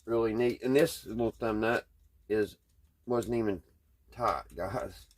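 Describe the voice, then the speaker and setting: A man's voice: fairly low pitch, unhurried. A man with a Southern US accent giving casual, hands-on repair commentary in a folksy, hesitant way, thinking aloud as he goes. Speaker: vlogger, radio restoration hobbyist.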